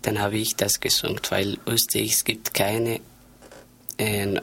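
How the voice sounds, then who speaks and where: Male guest from Somalia, Somali accent, flat delivery, simple halting phrasing. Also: on the low side, creaky, breathy edge. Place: radio studio.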